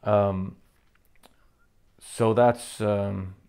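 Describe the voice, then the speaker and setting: A man from the Faroe Islands, a native Faroese speaker, answering fan questions in a casual monologue, halting with many fillers and restarts. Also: lowish voice, steady tone, vocal fry, darkish tone, smooth.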